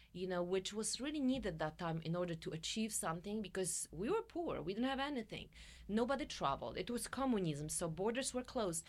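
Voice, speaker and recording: A middle-aged woman from Poland with a Polish accent, chatting effusively as an interview guest. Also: mid-range voice. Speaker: podcast guest, musician and coach. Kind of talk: casual interview chat.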